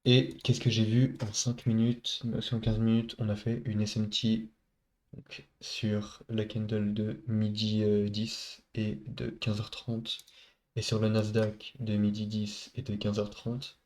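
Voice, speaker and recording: A man recaps his trade for viewers in a loose, chatty way, stopping often to search for words. His voice sits low and stays flat, and a head cold leaves him clearly congested. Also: a little slow. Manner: casual, many hesitations, talks to viewers as buddies. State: stuffy nose from a head cold. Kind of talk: casual screen-recorded trade recap.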